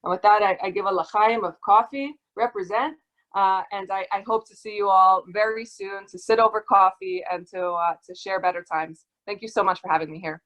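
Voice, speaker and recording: Woman reading aloud, medium pitch. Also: steady tone, normal speed, fairly bright tone, rough recording. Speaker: guest reader. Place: online video call.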